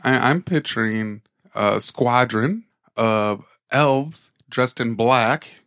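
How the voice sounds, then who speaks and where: A man's voice: medium pitch, very slow, fairly bright tone, no room sound. A man co-hosting a podcast who laughs often and drops dry one-liners in casual chat. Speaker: podcast co-host.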